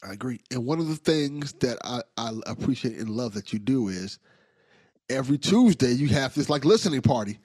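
A man's voice: medium pitch, animated, fairly quick, a little mellow, husky, no echo. A man hosting a podcast, talking in a casual, rambling, enthusiastic way with slang. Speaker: podcast host. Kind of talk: casual interview chat.

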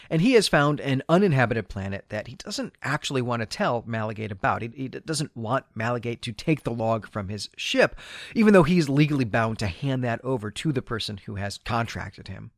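A man speaks as a podcast host giving a casual, explanatory recap. His mid-pitched voice swings expressively up and down, leaning on key words in a conversational way. The sound is dry, with very little room in it. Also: no echo.

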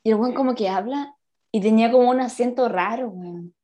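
A woman's voice: on the high side, a little fast. A woman in her twenties with a Chilean accent, telling a story in a casual chat, full of slang and laughter. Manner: animated, slangy, laughs often.

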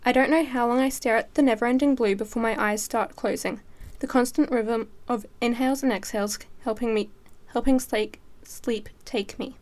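Teenage female student writer, higher-pitched, dramatic first-person narrative reading. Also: steady tone, normal speed, few pauses, a little mellow.

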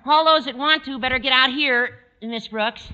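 A man's voice: very high-pitched, normal speed. A man playing a cocky teenage schoolboy putting on suave, condescending airs, in 1940s radio comedy style on an old recording. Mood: smug, suave. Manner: affected, condescending. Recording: dull, noisy, reverberant old radio recording.

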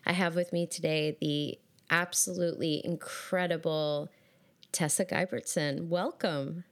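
A woman's voice: low voice, steady tone, measured pace. A woman hosting a podcast with a scratchy voice today, talking in an effusive, gushing way with many fillers. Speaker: podcast host. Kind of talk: casual podcast chat.

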